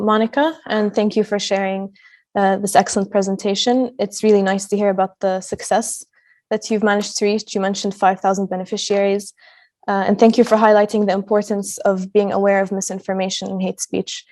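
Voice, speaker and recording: Woman moderator, level monotone, polite, measured. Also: medium pitch. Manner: polite, measured, some fillers. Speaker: webinar moderator. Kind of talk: moderating a webinar.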